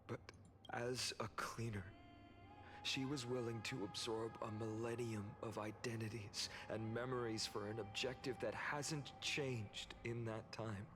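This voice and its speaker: A man's voice: mid-range voice, fairly even intonation, measured pace, close to an everyday voice. A man playing a solemn, determined young game hero with an earnest, dramatic delivery. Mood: grave. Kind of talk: scripted game voice acting. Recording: music behind the voice.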